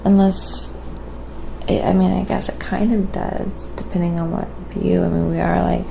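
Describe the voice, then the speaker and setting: A woman speaks softly with heavy vocal fry and very little rise or fall in pitch. She thinks aloud at an unhurried pace, like a relaxed ASMR art streamer chatting to viewers. There is clear background noise with small clinks. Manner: soft-spoken, unhurried thinking aloud while working. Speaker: livestream painter and host. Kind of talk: quiet ASMR painting livestream, casual chat with viewers.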